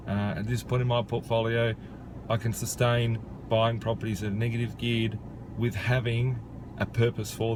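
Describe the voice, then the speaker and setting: A man in his thirties from Australia speaks casually to camera while sitting outdoors, with many "uh" and "you know" fillers. His voice sits fairly low. Traffic and outdoor noise run clearly underneath. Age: 30s. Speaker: property investor. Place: outdoors beside a rural property.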